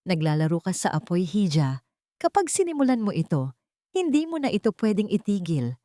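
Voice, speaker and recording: A woman's voice: medium pitch, big pitch swings, normal speed, warm tone, no room sound, natural voice. A grave old craftsman, voiced by a woman in an audio drama. He is fatherly and hesitant, speaking in solemn, cautioning lines. The recording is dry. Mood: solemn warning.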